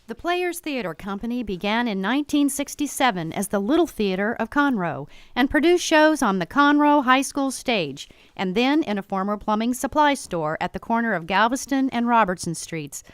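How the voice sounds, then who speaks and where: Female announcer, scripted promotional read, crisp tone, fairly high and animated. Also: no room sound, studio quality.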